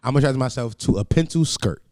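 A young man in casual podcast banter, animated and teasing, often laughing through his points. His pitch swings widely and he talks at a quick clip. The sound is dry and close. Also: dry recording. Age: 20s. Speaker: podcast co-host.

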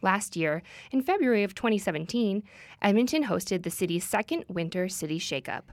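A woman hosting, reading a scripted introduction in a measured, even way. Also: medium pitch, dry recording, clean studio sound. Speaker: radio host.

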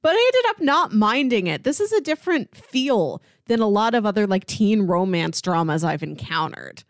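A woman's voice: medium pitch, sing-song, moderate pace, dry recording, studio quality. A woman in her thirties co-hosting a podcast, chatting casually as she reviews a series. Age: 30s. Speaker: podcast co-host.

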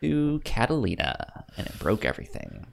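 A man's voice: mid-range voice, huge pitch swings, moderate pace, creaky. A man co-hosting a podcast in casual, informal, conversational chat. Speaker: podcast co-host.

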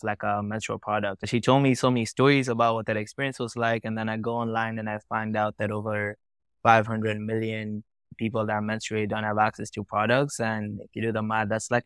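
Smooth-voiced young man, casual. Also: fairly low pitch, a bit bright, no echo. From Tanzania. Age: young adult. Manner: casual, lots of likes and you-knows. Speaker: guest, founder. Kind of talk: casual podcast interview.

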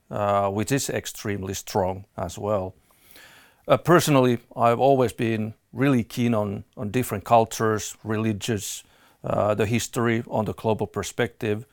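A middle-aged man from Finland with a Finnish accent, speaking as a podcast guest, halting with many fillers. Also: on the low side, no room sound, studio quality. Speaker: guest, fund manager. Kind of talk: podcast interview.

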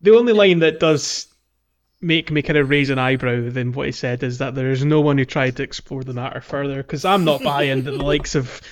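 A man's voice: mid-range voice, a little fast. A man co-hosting a podcast, talking casually about a video game. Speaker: podcast co-host. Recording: slightly dull, lo-fi audio.

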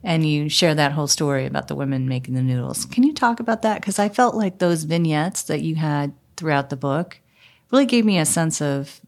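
Low-pitched woman interviewer. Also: expressive, a little fast. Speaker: interviewer, host. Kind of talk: casual conversational interview.

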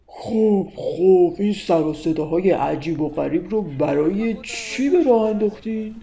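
A woman voicing a fat, grumpy male judge who talks loud and commanding. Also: fairly low pitch, lively intonation, creaky. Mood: irritated.

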